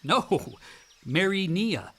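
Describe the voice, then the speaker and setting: Exuberant, higher-voiced young man. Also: very animated, extremely slow, a bit bright, a little hiss or hum, exaggerated voice. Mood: earnest, self-doubting. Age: young adult. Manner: casual, effusive, slangy. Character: exuberant, outdoorsy grown son, self-doubting dropout scholar. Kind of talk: naturalistic radio drama acting.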